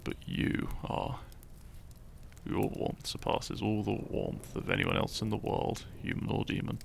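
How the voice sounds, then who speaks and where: Male actor as shy lovestruck hero: very creaky low voice, gentle, intimate, sleepy, romantic audio drama. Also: fairly even intonation, slight background noise. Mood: teasing, tender. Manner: slow, gentle, intimate, halting. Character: shy, tender, sleepy young hero in love.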